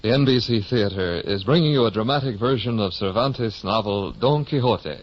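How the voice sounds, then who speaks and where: A man's voice: lowish voice, normal speed, over music. A man announcing formally in the style of a 1950s network radio host. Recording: muffled vintage 1950 radio broadcast.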